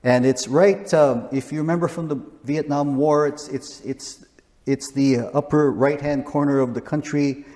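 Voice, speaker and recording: A man's voice: on the high side, steady tone, moderate pace, warm tone, big-room sound. A man in his sixties, a missionary, talking casually in an interview with frequent restarts. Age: 60s. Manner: rambling, frequent restarts and chuckles.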